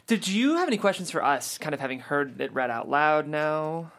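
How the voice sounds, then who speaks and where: A woman's voice: sits low, animated, normal speed, a bit bright. A woman interviewing a writer on a panel in a casual, conversational style.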